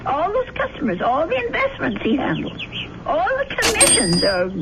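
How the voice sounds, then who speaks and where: A woman's voice: high-pitched, huge pitch swings, normal speed, fairly bright tone, heavily acted. An actress playing an earnest, motherly old landlady, insistent and scolding, in old-time radio drama style. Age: older. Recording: noisy, dull old radio broadcast recording.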